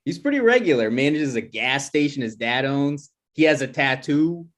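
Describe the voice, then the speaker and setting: Guy reading as an awkward young grad student, kinda hesitant and rambly, regular pitched voice. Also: expressive, moderate pace, a bit bright, close to an everyday voice. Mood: casual. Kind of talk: table read of a comedy pilot script.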